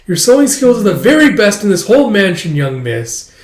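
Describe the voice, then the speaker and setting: Man doing a genteel, high-pitched doting maid. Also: big pitch swings, fairly quick. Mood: doting, admiring. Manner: genteel, formal, gushing. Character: doting, polite family maid, woman. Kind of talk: manga read-aloud character voice. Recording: echoey room.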